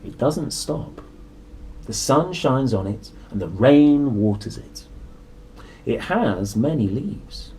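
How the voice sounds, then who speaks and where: A young man reading a picture book to children expressively, with dramatic pauses, soft exclamations and little asides. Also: mid-range voice, lively intonation, slightly rough, low-quality recording. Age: young adult. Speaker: storybook reader and presenter. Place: small indoor room.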